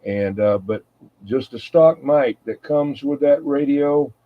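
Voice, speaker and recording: A man's voice: mid-range voice, slow pace. A man chatting casually and folksily in a meandering way as a radio hobby show host. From United States East Coast. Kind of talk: casual rambling hobby chat. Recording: muffled, phone-like narrow sound.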